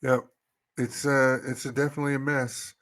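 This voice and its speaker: A man's voice: mid-range voice, level tone, normal speed, fairly bright tone. Exasperated man hosting a podcast. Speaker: podcast host. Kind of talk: opinionated live podcast talk with read-out articles.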